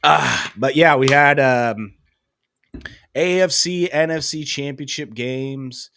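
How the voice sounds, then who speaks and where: A man's voice: medium pitch, takes it slowly, vocal fry, sharp tone, a little hiss or hum. Stuffy-nosed guy rambling on a podcast. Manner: rambling, self-deprecating, lots of fillers. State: stuffy head cold. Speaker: podcast co-host. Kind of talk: casual sports podcast chat.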